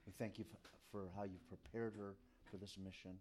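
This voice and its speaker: A man leading a spoken prayer, reverent and halting, with frequent restarts. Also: on the low side, level tone, darkish tone, a little hiss or hum. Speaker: church member leading prayer.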